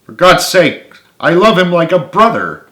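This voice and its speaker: A man voicing a patient, laid-back young man who calms his friends. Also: a little high, unhurried, reverberant, voice pushed up, exaggerated voice.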